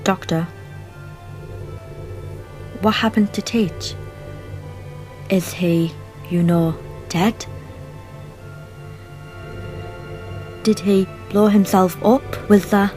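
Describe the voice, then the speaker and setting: A woman's voice: mid-range voice, steady tone, takes it slowly, pauses often, mellow, faint music behind, lightly acted. A woman playing a hesitant, earnest young female gun-for-hire in a fan audio drama. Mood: concerned.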